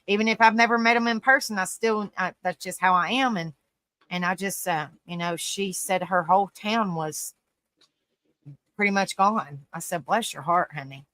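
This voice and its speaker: Woman host, chatty and folksy, bright tone, very dry sound. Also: on the low side, moderate pace, no room sound. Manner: folksy, repeats phrases, says "bless your heart, honey". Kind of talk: casual live-stream chat.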